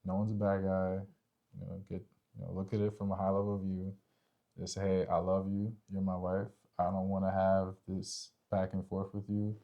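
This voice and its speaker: Low, gravelly-voiced man chatting informally. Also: completely flat delivery, normal speed, narrow, tinny audio. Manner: informal, slangy, repeats "like" and "you know". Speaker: podcast co-host. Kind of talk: casual relationship discussion between spouses.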